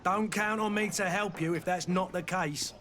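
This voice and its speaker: Actor as an aggrieved, blunt tavern keeper, higher-pitched. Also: male voice, fairly even intonation, moderate pace, heavy background noise, natural voice. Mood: indignant. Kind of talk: scripted video game dialogue.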